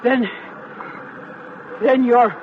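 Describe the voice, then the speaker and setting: A woman's voice: on the high side, fairly even intonation, drawn-out words. An actress playing a menacing, agitated older woman stranger who pleads and demands in an old-time radio thriller. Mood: suspicious, scornful. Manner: agitated, demanding, swinging between pleading and snapping. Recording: noisy, muffled old radio drama recording.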